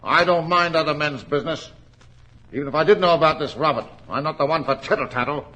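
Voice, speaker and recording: A surly innkeeper in a vintage radio thriller, gruff and threatening. His voice is forceful and cutting, with rough colloquial talk, on a dull old recording. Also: a little high, quick pace. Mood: surly, defensive. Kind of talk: 1940s radio acting.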